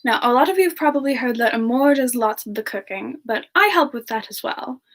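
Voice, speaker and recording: A woman playing a sweet, chatty young female demon, talking gently and hesitantly in a casual semi-ASMR live chat. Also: on the high side, animated. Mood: gentle, friendly. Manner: gentle, hesitant, pausing often mid-sentence. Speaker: host of a live Q&A stream.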